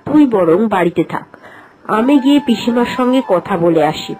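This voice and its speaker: A woman voicing a sensible, cautious eldest sister-in-law in a village cartoon, advising the others in a measured way. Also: fairly high pitch, animated, darkish tone, close to an everyday voice, poor audio. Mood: firm, encouraging. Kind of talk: Bengali animated fairy-tale voice acting. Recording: music behind.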